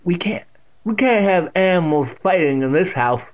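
A man voicing a stern, eerie deaf old woman of at least eighty in a storybook reading, with slow, drawn-out, commanding speech. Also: high voice, expressive, muffled recording, voice pushed up. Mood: stern, cold.

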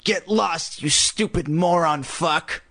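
A man voicing a rude ventriloquist dummy with a harsh, raspy snarl in a storybook reading. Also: a little high, slow pace, sharp tone, voice pushed up, exaggerated voice.

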